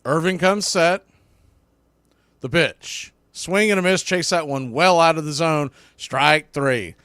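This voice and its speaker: A male baseball announcer with a somewhat high voice calls the game live in short, clipped phrases. He is openly partisan and folksy, leaning on catchphrases. The sound is dry and close. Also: takes it slowly, fairly bright tone, smooth, no echo. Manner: folksy, partisan home-team enthusiasm. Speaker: baseball play-by-play announcer for a fan stream. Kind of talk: live sports play-by-play commentary.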